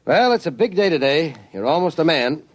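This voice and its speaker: A man's voice: a little high, big pitch swings, a little fast. An actor playing a jovial, folksy middle-aged American father in an old-time radio drama. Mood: cheerful, teasing. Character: hearty, teasing middle-aged father of a teenage boy.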